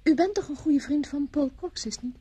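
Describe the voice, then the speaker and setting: A woman's voice: sits high, lively intonation, moderate pace, dark tone. An actress from the Netherlands playing a charming, teasing young society woman in a radio drama. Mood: playful. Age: young adult. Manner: teasing, polite, airy.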